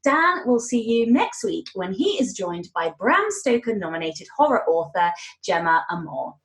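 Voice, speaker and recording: A woman hosting a casual, playful podcast interview, expressive and teasing in manner, recorded with a roomy sound. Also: medium pitch, normal speed, slight background noise. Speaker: guest host interviewing the show's host.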